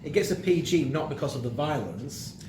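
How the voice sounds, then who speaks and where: A man's voice: on the high side, expressive, fairly quick. A middle-aged man chatting casually as co-host of a film review show. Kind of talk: casual chat. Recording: roomy echo, clearly audible background noise.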